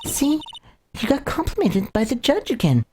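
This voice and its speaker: A man's voice: very high voice, wildly swooping pitch, normal speed, a little mellow, slight background noise, pitched above the performer's own voice, heavily acted. A man voicing an earnest, encouraging young female assistant from a video game, eager and slightly stammering. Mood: earnest encouragement. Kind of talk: dramatic reading of a video game. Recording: game music behind the voice.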